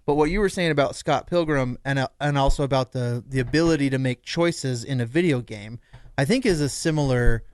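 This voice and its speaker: Male co-host, clean resonant mid-range voice, dry studio sound, measured explainer in relaxed conversation. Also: mid-range voice, smooth, no room sound. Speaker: podcast co-host. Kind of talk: casual discussion.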